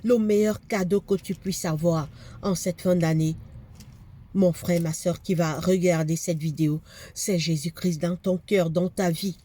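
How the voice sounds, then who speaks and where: Woman preacher with a cold, warm mellow tone, fervent and repetitive delivery. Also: lowish voice, fairly even intonation, a little slow. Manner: fervent preaching, repeats phrases for emphasis. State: has a cold, congested. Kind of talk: sermon.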